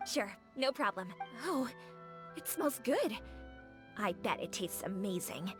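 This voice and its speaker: A woman voicing an eager young restaurant keeper in a video game, bubbly, excitable and friendly. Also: medium pitch, slow. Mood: excited, grateful. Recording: game music behind the voice.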